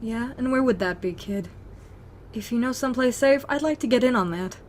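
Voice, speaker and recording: A woman's voice: fairly high pitch, brisk, slight background noise, close to an everyday voice. A woman playing a tough, pragmatic young woman in an audio drama, blunt and challenging. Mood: confrontational.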